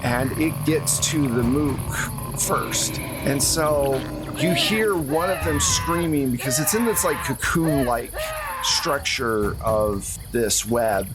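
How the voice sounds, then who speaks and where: A man's voice: medium pitch, takes it slowly, over loud music. Casual man running a tabletop game. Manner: casual, conversational. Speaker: game master. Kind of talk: narrating a tabletop horror roleplaying game.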